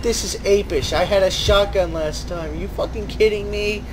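A man's voice: high-pitched, moderate pace. Guy streaming a game, sarcastic and sweary, fed up, noisy fan behind him. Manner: exasperated, mock-enthusiastic, heavy swearing, repetitive. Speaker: gaming streamer. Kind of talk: sarcastic game playthrough commentary. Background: whirring computer fan, game audio.

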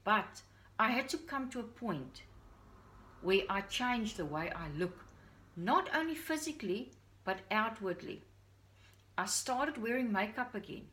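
A woman's voice: on the high side, animated, unhurried, pauses often, sharp tone, poor audio. A middle-aged woman with a Dutch accent talking earnestly to the camera in her living room as a parent vlogger. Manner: earnest, heartfelt, confiding. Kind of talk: personal talk to camera. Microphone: camera on a stand at a short distance.

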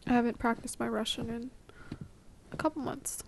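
A woman's voice: on the high side, steady tone, moderate pace, gravelly, a little hiss or hum. A young woman reacting casually as she plays a video game, close on a desk microphone in a small room. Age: young adult. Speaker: streamer playing a video game. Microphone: desk microphone close in front. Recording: loud game audio behind the voice.

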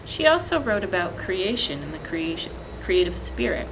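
A woman in her thirties reading literature aloud as a librarian, with measured, deliberate phrasing and pauses. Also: on the low side, sing-song, a little hiss or hum, low-quality recording. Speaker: librarian presenting a poetry reading. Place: indoor library, seated among bookshelves.

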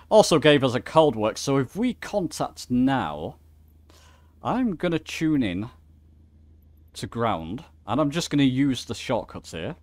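A man's voice: medium pitch, dry recording. A man giving a casual tutorial, talking informally, thinking aloud and correcting himself as he goes. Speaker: flight simulator tutorial host. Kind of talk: casual instructional screen-share commentary.

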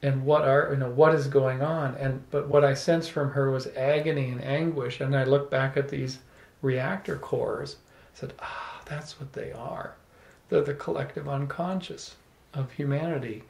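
Gravelly man telling a story in an echoey room. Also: medium pitch, moderate pace. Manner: animated, playful storytelling with laughs. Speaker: salon speaker. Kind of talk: storytelling to a small audience. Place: room with small live audience. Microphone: handheld microphone.

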